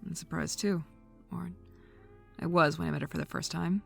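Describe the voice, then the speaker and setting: A sarcastic, easygoing young organizer with a dry wit, as a woman voices her. Her voice is low and gravelly with fry, over faint music. It is a fan audio drama. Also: steady tone, normal speed, a bit bright, exaggerated voice. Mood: wry. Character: young adult woman, wry social event organizer.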